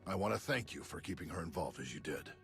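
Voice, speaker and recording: An actor playing a thoughtful, courteous Jedi master in a video game cutscene, speaking in a measured, gently formal and wry way. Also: male voice, sits low, animated, gravelly. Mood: earnest. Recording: music behind the voice.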